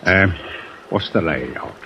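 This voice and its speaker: Gruff sailor crook, lowish voice, slow and slangy, bullying type, old radio play, muffled sound. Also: male voice. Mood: compliant. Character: gruff, taunting seaman crook, bullying his timid mate. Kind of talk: 1950s radio drama acting. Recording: dull, noisy old radio recording.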